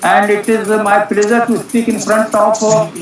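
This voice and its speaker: A woman with an Indian accent giving a formal, partly read speech at a webinar, in a halting, stumbling delivery. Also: mid-range voice, flat delivery, music in the background. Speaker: webinar panel speaker. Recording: very echoey, distant microphone, faint musical rattle.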